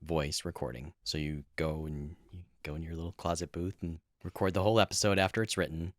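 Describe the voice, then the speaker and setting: A man with a low voice co-hosts a podcast in casual conversation. He is chatty and jokey as he explains things step by step, and the sound is dry and close. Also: level tone, fairly quick, dry recording. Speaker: podcast co-host, audio editor.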